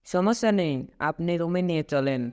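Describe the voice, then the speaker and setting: A man's voice: on the high side, lively intonation, normal speed, darkish tone, clean tone, close to an everyday voice. A man playing an easygoing young traveller who shrugs off worries in a ghost-story cartoon. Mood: unbothered. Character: easygoing young male traveller, unbothered by spooky rooms.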